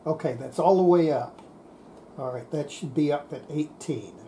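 An older man giving an unscripted hands-on repair demonstration, halting and muttering to himself as he works at a bench. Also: on the high side, mellow, slight background noise. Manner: halting, muttering to self while working. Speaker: radio repair hobbyist, presenter. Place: indoor workbench.

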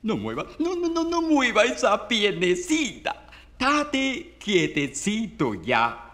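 A man's voice: high-pitched, sing-song, a bit bright, exaggerated voice. A man performing a dialect recitation as a doting mother soothing her little boy, tender and crooning, with a Caribbean Spanish accent. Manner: tender, crooning, drawn-out baby talk. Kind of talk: theatrical dialect poetry recitation.

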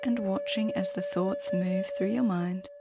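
A woman leading a guided meditation in a slow, gentle, soothing manner with long pauses. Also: medium pitch, huge pitch swings, over loud music.

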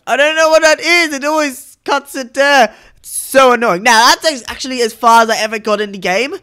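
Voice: high voice, steady tone, fairly quick, sharp tone, clean tone. A young adult YouTuber giving casual reaction commentary on game cutscenes.

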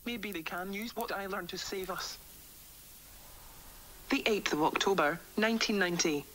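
A woman playing a doomed, infected doctor recording a somber, measured diary in an analog horror story. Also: mid-range voice, fairly bright tone, a little hiss or hum, close to an everyday voice. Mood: grim resignation.

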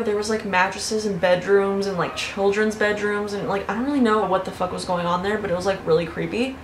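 A young woman in her twenties chats to her camera from a bedroom, animated and casual, swearing and laughing as she goes. Her voice sits at a middling pitch. The room gives it a noticeable echo over a faint background hiss. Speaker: YouTuber. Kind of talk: casual vlog storytelling. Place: bedroom with fairy lights.

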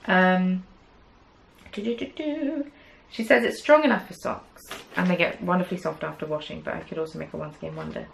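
A young woman talks yarn to the camera in a small craft room, her lowish voice carrying a gravelly, creaky edge. She rambles cheerfully, full of "um"s and little jokes, and a faint hiss of room noise sits under her speech. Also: normal speed, low-quality recording. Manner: chatty, rambling, many "um"s, playful asides. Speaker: knitting podcast host. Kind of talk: casual chat to camera. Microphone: camera at desk distance.

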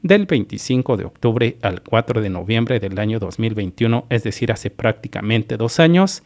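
A man's voice: fairly low pitch, lively intonation, normal speed, darkish tone. A man from Mexico hosting his own channel, methodically explaining earnings over a screen recording and speaking straight to his viewers. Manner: repetitive, methodical, addresses viewers directly. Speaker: YouTube channel host.

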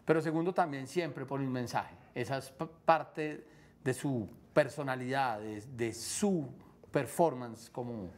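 A man in his thirties with a Colombian accent, hosting a studio interview and asking measured, probing questions. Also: mid-range voice, darkish tone. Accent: Colombian Spanish. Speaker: TV host interviewer. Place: TV studio set. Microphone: lapel mic.

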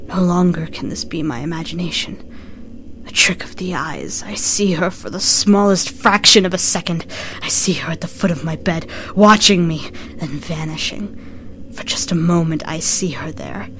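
A woman playing a haunted, sleepless narrator going mad, reading a horror story in a breathy, halting, melodramatic, near-whispered delivery. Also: lowish voice, fairly bright tone, lightly acted. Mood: rising panic. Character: haunted, sleepless narrator sliding into cookie-obsessed madness. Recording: noisy, with eerie ambient music behind. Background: slowed, eerie game soundtrack music.

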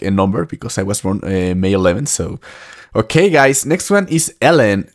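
A man in his twenties giving casual reaction commentary into a desk microphone, with slightly halting, repetitive phrasing. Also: lowish voice. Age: 20s. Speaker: reaction video host. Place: small indoor room at a desk. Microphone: desktop microphone up close.

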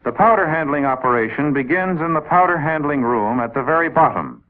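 A man's voice: on the high side, expressive, a little fast. An American man narrating a 1950s military training film in a methodical, explanatory manner, addressing trainees directly. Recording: old 1950s film soundtrack, tinny narrow band.

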